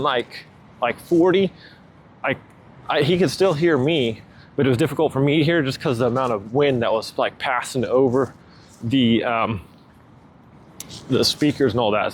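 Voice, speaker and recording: A man giving a casual, unscripted product review outdoors, talking in a loose, informal way and often checking in with questions. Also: medium pitch. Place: outdoors near water, walking.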